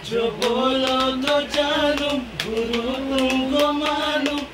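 High-voiced woman singing on a train. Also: steady tone, far from the mic, loud music behind the voice. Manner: singing old film songs slowly, drawn out.

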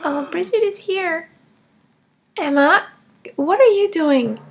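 A woman's voice: very high voice, takes it slowly, full character voice. A woman playing a kindergarten teacher in a comedy skit, scolding firmly. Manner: scolding, firm. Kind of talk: comedy skit role-play.

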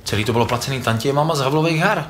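A man leading a scripted satirical comedy sketch, explaining the plan briskly to his colleagues. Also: medium pitch, a bit bright, a little hiss or hum. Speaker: think-tank member in a comedy sketch. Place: small indoor set with carved wooden wall.

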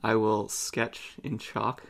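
A man's voice: lowish voice, level tone, slow pace, gravelly, fairly bright tone. A man playing a tabletop fantasy game, casually saying what his character does and says.

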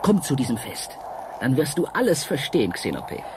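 An actor in a radio play voicing a seductive, mysterious painter from an ancient world, coaxing and dramatic with halting pauses. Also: male voice, medium pitch, sing-song, noisy background, lightly acted. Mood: mysterious, solemn.

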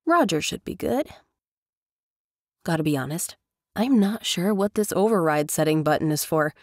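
A woman giving a casual, chatty software tutorial voice-over as host. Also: on the low side, expressive, normal speed, no echo, studio quality. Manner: informal, chatty. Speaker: tutorial host, professional narrator.

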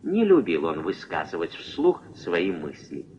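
A man narrating a puppet-film fairy tale as a wry, measured, expressive storyteller. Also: sits low, husky, exaggerated voice. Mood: wry, storytelling. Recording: dull old film soundtrack, music behind.

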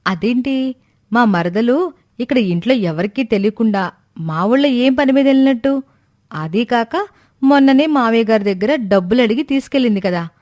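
A woman's voice: a little high, expressive, normal speed, a little mellow, lightly acted. Woman acting suspicious, nosy young daughter-in-law. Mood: suspicious musing. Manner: questioning, insinuating. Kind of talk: animated moral-story voice acting.

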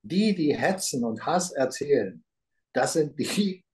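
A man's voice: on the high side, lively intonation, takes it slowly. A middle-aged man in casual conversation, talking emphatically and rambling, posing rhetorical questions, heard over a video call webcam. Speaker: talk show co-host. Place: room with a green grass backdrop.

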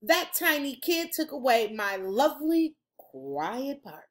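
Animated, high-voiced woman reading aloud slowly. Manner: animated, dramatic, drawn-out emphasis. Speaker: elementary school teacher reading aloud. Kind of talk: expressive children's storybook read-aloud. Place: room at home. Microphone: video call, computer at desk distance.

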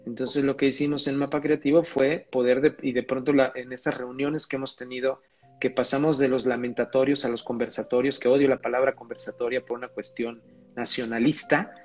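A man's voice: medium pitch, little pitch movement, brisk, a little mellow, lo-fi sound, soft background music. A man as guest in an online conversation, talking enthusiastically and repeating his phrases. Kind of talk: casual live-stream conversation.

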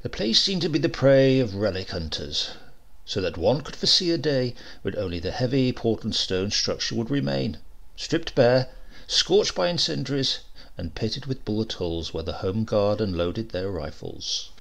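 A man's voice: fairly low pitch, moderate pace, vocal fry. A man narrating a comic short story in a dry, wry storytelling manner. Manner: dry, wry storytelling with lively voiced dialogue.

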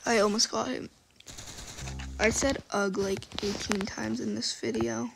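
A woman with a scratchy, quiet voice, rambling casually while playing a game. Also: on the high side, fairly even intonation, unhurried, creaky. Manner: quiet, soft-spoken, trailing off. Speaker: gaming video creator. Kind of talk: rambling casual commentary over gameplay.

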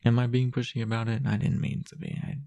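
A man playing a possessive, teasing young boyfriend in an improvised intimate roleplay audio, coaxing in a husky, breathy, hesitant murmur. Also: mid-range voice, flat delivery, dark tone, lightly acted. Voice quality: husky, gravelly, often breathy or whispered. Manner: hesitant, coy, coaxing murmur with drawn-out pauses.

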